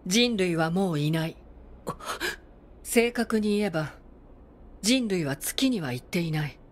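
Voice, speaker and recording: An actress playing a stern, weary android military commander in a video game, formal and grave. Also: female voice, on the low side, lively intonation, measured pace. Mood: grave, resigned. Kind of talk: dramatic video game acting.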